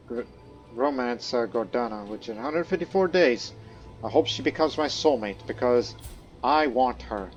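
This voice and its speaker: A man's voice: mid-range voice, muffled recording. Rambling man narrating a strategy game. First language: not Croatian; studied Croatian as a second language. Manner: rambling, many fillers and restarts, speaks in character as his ruler. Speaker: let's play host. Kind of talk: casual gameplay commentary. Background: faint game music.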